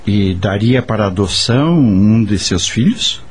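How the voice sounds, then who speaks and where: An actor playing a bitter, brooding working man with a husky voice and a curt, questioning manner in a radio drama. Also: lowish voice, animated, a little slow, darkish tone. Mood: troubled, questioning. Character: bitter, brooding working man haunted by rejecting his son.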